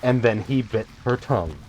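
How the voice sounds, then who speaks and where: A man doing casual let's play commentary, low-key and thinking aloud. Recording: game sounds and noise behind.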